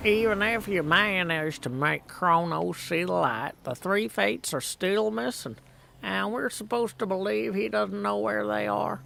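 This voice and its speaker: A man voicing a grave, suspicious video game character who speaks in a formal, measured way. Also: sits high, creaky, bright, forceful tone, slight background noise, voice pushed up, heavily acted. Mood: doubtful.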